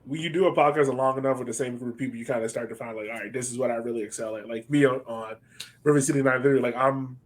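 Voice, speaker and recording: A man's voice: mid-range voice, fairly even intonation, racing. A man hosting a podcast interview in a casual, slangy, chatty way. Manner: informal, slangy. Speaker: podcast host interviewing guests.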